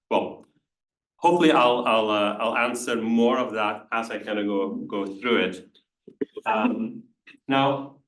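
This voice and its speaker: A man's voice: fairly low pitch, fairly bright tone. Belgian-accented man lecturing in an echoey room. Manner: hesitant, many restarts and "kind of" fillers. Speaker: engineering professor presenting research. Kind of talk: conference talk with audience questions. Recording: echoey room, voice from far off the mic.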